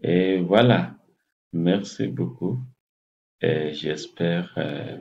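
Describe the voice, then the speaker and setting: Slow, gravelly, deep-voiced Kenyan man. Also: darkish tone. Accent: Kenyan English. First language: English. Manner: slow, halting, searching for French words. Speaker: health channel host. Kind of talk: casual address to camera. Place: small indoor room. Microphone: laptop webcam at desk distance. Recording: muffled lo-fi webcam audio.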